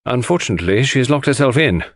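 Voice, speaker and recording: Man doing an agitated, indecisive young gentleman. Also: mid-range voice, expressive, fast, dry recording, studio quality, voice pushed up. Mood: agitated, hesitant. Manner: hesitant, agitated.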